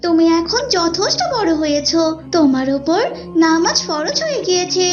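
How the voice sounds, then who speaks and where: A woman's voice: very high voice, lively intonation, normal speed. A woman voicing a pious, insistent young big sister who nags and admonishes in an Islamic cartoon, in a dull recording. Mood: admonishing. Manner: nagging, admonishing. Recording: dull lo-fi audio with music behind.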